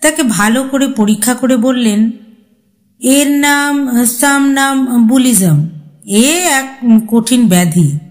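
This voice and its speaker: A woman narrating a horror story as a storyteller, with a suspenseful, expressive dramatic reading. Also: on the high side.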